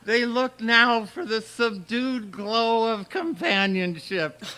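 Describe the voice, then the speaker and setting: A man's voice: extremely high pitch, slow, pushed and bright, full character voice. A man playing an eager, florid teenage schoolboy with a grandiose way of talking in a radio comedy. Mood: grandly poetic. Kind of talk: old-time radio comedy acting.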